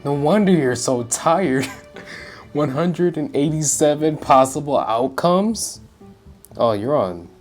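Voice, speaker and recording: Very low-pitched woman gaming casually. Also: expressive, takes it slowly, darkish tone. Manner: informal, offhand. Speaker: gaming streamer. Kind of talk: casual reactive playthrough commentary. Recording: game music behind the voice.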